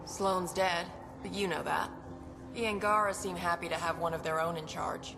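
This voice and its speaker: A woman's voice: on the low side, fairly even intonation, normal speed, over music, close to an everyday voice. An actress playing a jaded woman bartender who answers in short, sardonic replies. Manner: laconic, sardonic.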